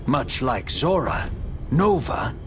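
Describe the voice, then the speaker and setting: A man narrating a video game story cutscene in a measured, dramatic storytelling manner. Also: medium pitch, big pitch swings, slight background noise. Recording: music under the voice. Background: music.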